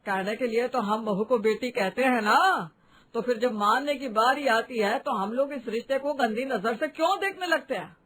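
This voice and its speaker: Woman playing indignant, high-voiced modern relative. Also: moderate pace, a bit bright, slight background noise, natural voice. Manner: challenging, scolding. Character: indignant, outspoken modern-minded family member. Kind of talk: animated family drama voice acting in Hindi.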